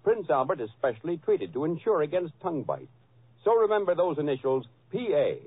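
Old radio ad man, husky, bit high, selling hard, muffled phone-like recording. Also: big pitch swings, brisk. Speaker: commercial announcer. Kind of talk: scripted 1940s radio ad pitch. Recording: narrow-band vintage radio recording.

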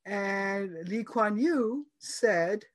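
A woman's voice: medium pitch, lively intonation, extremely slow. A woman in her seventies from Singapore, talking thoughtfully as an interview guest, with fillers and small laughs. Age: 70s. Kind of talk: conversational interview.

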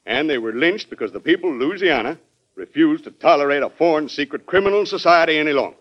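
An actor playing a defensive, deferential state governor in a classic radio drama, shifting between hesitant deference and emphatic self-justification. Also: male voice, on the high side, expressive, fast, fairly bright tone. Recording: dull old radio broadcast recording.

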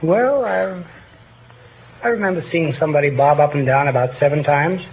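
A man's voice: on the high side, moderate pace. A man from the Philippines answering politely and formally as a contestant on a vintage radio quiz. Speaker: quiz show contestant, lifeguard. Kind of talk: answers in an on-air interview. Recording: tinny vintage radio, faint noise.

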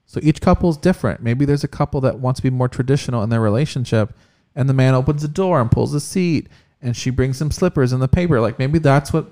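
A man talks bluntly and swears freely. He hands out big-brother advice in an even, mid-pitched voice. The setting is a loose, casual podcast chat. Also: quick pace, few pauses. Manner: blunt, profane, advice-giving. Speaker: podcast co-host.

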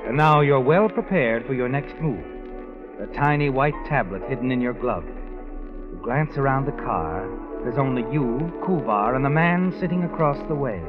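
An actor playing a sinister, all-knowing narrator in a 1940s radio mystery, ominous and insinuating in manner. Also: male voice, medium pitch, natural voice. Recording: dull old radio recording with music.

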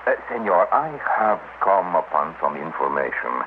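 Deep-voiced, wily Spanish-accented mayor. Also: male voice, sing-song, moderate pace. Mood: sly, excited. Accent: Spanish-accented English. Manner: hesitant, insinuating, ceremoniously polite. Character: wily, fawning Mexican town mayor, middle-aged man. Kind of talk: classic radio drama acting. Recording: noisy old dull radio recording.

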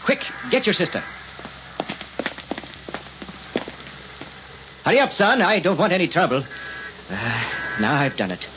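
An actor playing a fretful, gruff but soft-hearted old night watchman in a radio drama, speaking in short clipped phrases. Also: fairly high pitch, huge pitch swings, fairly quick. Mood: anxious. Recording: muffled, noisy old radio recording.

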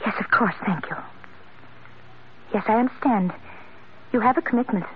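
Woman doing emotional rich young lady, high pitched, halting, old radio melodrama, faint hiss. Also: close to an everyday voice. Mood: wounded, pleading. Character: emotional, proud wealthy young woman in love. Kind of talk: 1940s radio melodrama acting. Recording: muffled, hissy old radio recording.

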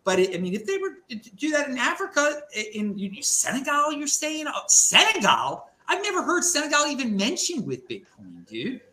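A man hosting a casual panel chat, halting and full of restarts, calling guests "dude". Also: very high-pitched, huge pitch swings, pushed and bright, reverberant. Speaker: podcast host.

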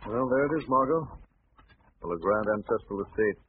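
An actor playing a calm, urbane young gentleman sleuth in 1940s radio style. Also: lowish voice, brisk, close to an everyday voice. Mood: wry, calm. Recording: tinny old radio recording, hiss.

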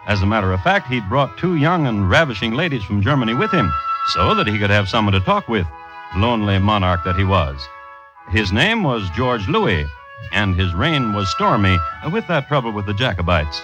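A man hosting an old-time radio crime series, narrating from a script with deliberate, wry understatement. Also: lowish voice, big pitch swings, lo-fi sound. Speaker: host and narrator. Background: music.

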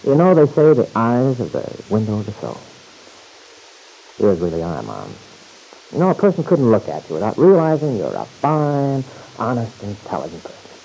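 Folksy teasing son, gravelly vintage radio. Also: male voice, medium pitch, wildly swooping pitch, mellow, low-quality recording. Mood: admiring. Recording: hissy old radio broadcast recording.

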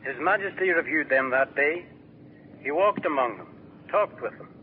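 Guy acting as a wistful old newspaper editor, kinda high voice, tinny old radio sound. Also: animated, moderate pace, a little hiss or hum, lightly acted. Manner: reminiscent, gentle. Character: elderly male small-town newspaper editor, wistful.

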